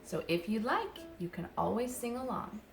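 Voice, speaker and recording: A woman who teaches young children, chatting and reading aloud gently in simple, unhurried phrases with little explanations. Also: medium pitch, animated, creaky, a little hiss or hum. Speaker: childcare educator leading story and song time for toddlers.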